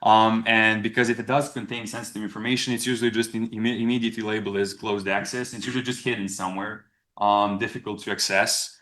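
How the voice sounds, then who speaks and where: A young man from Serbia, giving a conference presentation in a halting way, often restarting and repeating words. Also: fairly low pitch, level tone, sharp tone. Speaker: research presenter.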